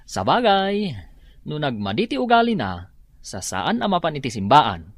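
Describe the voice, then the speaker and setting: Man acting a gossipy, animated servant. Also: fairly high pitch, normal speed, no room sound. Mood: mocking. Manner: mocking, gossipy. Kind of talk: melodramatic audio drama acting.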